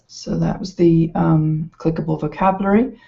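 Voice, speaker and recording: A woman's voice: low voice, steady tone, gravelly, warm tone. A woman giving an informal, hesitant walkthrough as an educational presenter. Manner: hesitant, halting delivery. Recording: slightly dull, lo-fi sound.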